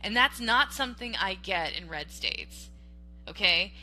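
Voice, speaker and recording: A woman on a podcast from Western Washington, debating politics in an animated, emphatic way, restarting sentences and tagging them with "okay?". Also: mid-range voice, a little slow, poor audio. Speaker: podcast co-host.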